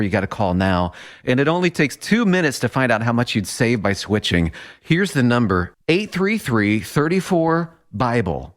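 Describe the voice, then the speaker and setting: A man with an American accent giving a conversational radio advertisement read as an announcer. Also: mid-range voice.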